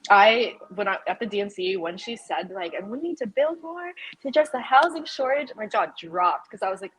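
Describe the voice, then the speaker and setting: A woman's voice: medium pitch, lively intonation, fairly quick, a bit bright, low-quality recording. A woman chatting casually as a podcast guest, informal and enthusiastic, peppering her sentences with "like". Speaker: podcast guest, political campaign volunteer leader. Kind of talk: casual chat.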